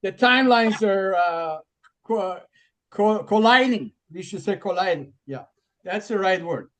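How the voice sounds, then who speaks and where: Halting high-voiced man, Persian native speaker. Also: lively intonation, fairly bright tone. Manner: halting, searching for words. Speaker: conference host. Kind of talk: casual video call chat. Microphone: video call.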